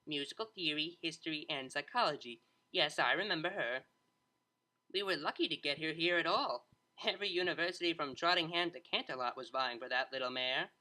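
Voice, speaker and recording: A woman's voice: low-pitched, fairly quick, bright, forceful tone, poor audio. A woman playing a friendly, distinguished older pony official in an audio story, composed and authoritative. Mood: pleasant.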